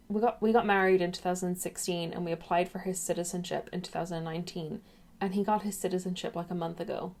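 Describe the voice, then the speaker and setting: A woman's voice: fairly low pitch, a little mellow. A young woman chats into her webcam at home. She rambles in a loose, friendly vlog style and keeps drifting off topic. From England, raised in Ireland. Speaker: YouTuber. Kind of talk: casual chatty vlog.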